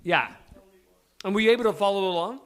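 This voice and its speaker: A man's voice: sits high, lively intonation, fast talker, fairly bright tone, clear, resonant tone. A man in casual, joking conversation, teasing the people around him.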